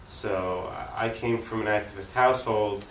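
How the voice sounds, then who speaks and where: Low-pitched man in a roomy, distant recording. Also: fairly even intonation, normal speed. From Long Island, New York, US. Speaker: interview guest, political activist. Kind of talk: conversational interview answers. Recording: roomy, distant mic, faint noise.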